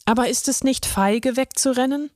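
A woman's voice: high-pitched, moderate pace, darkish tone, no echo, studio quality, pitched above the performer's own voice, natural voice. Woman doing a shy little straw boy. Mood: earnest, shy. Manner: timid, earnest. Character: shy young boy drinking straw, colorful. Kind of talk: children's story reading.

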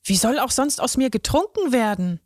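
Storybook kid: timid young straw boy, voiced by a woman, high and earnest, dry studio sound. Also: lively intonation, normal speed, darkish tone, no room sound, lightly acted. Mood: earnest, shy. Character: shy young boy drinking straw, colorful. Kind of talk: children's story reading.

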